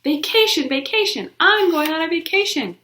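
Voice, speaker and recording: A woman voicing a loud, boisterous little girl bursting with excitement, proud and bouncy. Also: medium pitch, normal speed, gravelly. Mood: overjoyed. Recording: roomy echo.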